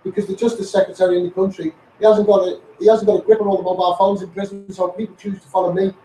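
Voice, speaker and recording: A man's voice: high voice, little pitch movement, very fast, warm tone, roomy sound, faint music behind, poor audio. A man with a Scouse accent giving a blunt, sweary, taunting rant to his online followers. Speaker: social media personality.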